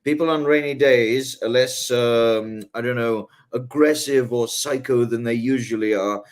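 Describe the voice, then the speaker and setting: English male guest, haughty, rambling, many fillers, mid pitch. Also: fairly even intonation, a little slow. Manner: rambling, many ums and rhetorical "right?"; haughty airs. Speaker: guest author. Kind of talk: casual interview talk.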